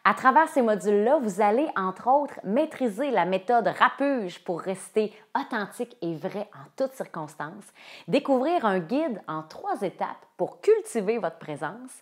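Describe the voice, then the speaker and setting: A woman's voice: medium pitch, very animated, a little slow. A woman in her thirties with a Quebec French accent, a coach sharing personal stories and presenting a course to viewers. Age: 30s.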